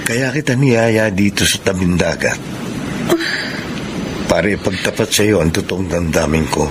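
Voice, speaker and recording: Lovestruck filipino guy, lowish voice, melodramatic radio romance, noisy background. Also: unhurried. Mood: ardent pleading. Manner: earnest, melodramatic. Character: ardent, lovestruck male suitor pleading his love. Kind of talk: radio drama acting. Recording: noisy audio with ambient sound.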